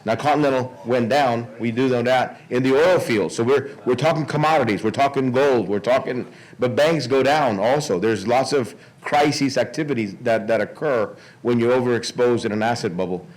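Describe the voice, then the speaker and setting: A man's voice: medium pitch, a little mellow, low-quality recording. A man giving an informal public speech, often repeating words and restarting as he goes. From Miami, United States. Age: 60s. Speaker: conference speaker.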